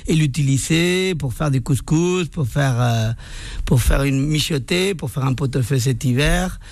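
Argentine-accented male chef, gravelly. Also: medium pitch, a little slow, dry recording. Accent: Argentine Spanish. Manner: hesitant, searching for words. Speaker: chef guest. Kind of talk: radio interview.

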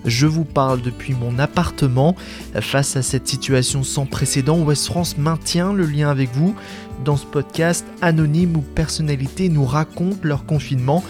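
A man hosting a podcast, reading a scripted introduction from his apartment in an even delivery. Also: fairly high pitch, normal speed. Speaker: podcast host. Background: guitar music.

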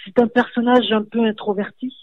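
A man's voice: very high-pitched, steady tone, fairly quick, no room sound. A male radio host asking short, polite questions in an interview. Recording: dry, phone-like sound.

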